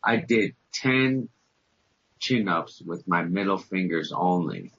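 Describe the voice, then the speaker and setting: A boastful man in his fifties trades jokes on a podcast, his pitch swinging widely as he talks. His voice is low and a little husky. The recording is dull and lo-fi. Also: slow pace. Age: 50s. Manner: boastful, joking, animated storytelling. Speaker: podcast co-host. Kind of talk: casual banter.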